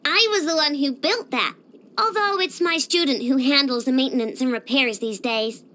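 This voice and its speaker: A woman's voice: high voice, normal speed, bright, forceful tone, a little hiss or hum. A woman voicing a cheerful, proud young girl inventor in a video game, with a bubbly, boastful, childlike delivery. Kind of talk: scripted video game character voice lines.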